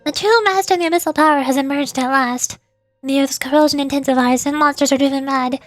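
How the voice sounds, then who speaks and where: A woman's voice: sits high, fast talker, dry recording, faint music behind. A woman VTuber doing live game commentary and reading on-screen text aloud.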